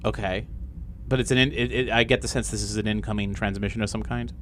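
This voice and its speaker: A man's voice: lowish voice, fairly even intonation, quick pace, fairly bright tone, a little hiss or hum. A man chatting casually as a game player, thinking aloud as he describes his character's actions.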